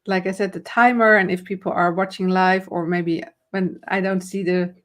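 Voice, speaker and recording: A woman's voice: on the low side, steady tone, moderate pace, fairly bright tone. A woman with a Dutch accent, a confidence trainer and host, giving friendly coaching tips in a live conversation, explaining with many fillers and restarts. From Netherlands.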